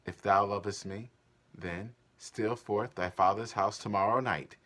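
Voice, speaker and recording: A man's voice: fairly low pitch, steady tone, a little slow, a bit bright, pitched below the performer's own voice. A man playing an ardent, cheeky young lover, wooing dramatically in a Shakespearean stage reading. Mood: urgent, conspiratorial.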